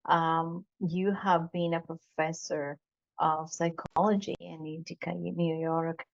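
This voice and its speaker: A woman hosting a podcast interview, speaking deliberately with some fillers and repeats. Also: sits low, flat delivery, gravelly. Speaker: podcast host. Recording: dull lo-fi sound.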